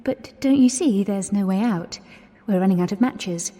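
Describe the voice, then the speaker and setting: A woman's voice: medium pitch, normal speed, dark tone, natural voice. An actress playing an anxious but determined young Englishwoman in an audio drama, urgent and nervy. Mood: nervous, defiant. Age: young adult. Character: anxious, determined young woman trapped in dark archives. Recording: long hall reverb, music underneath.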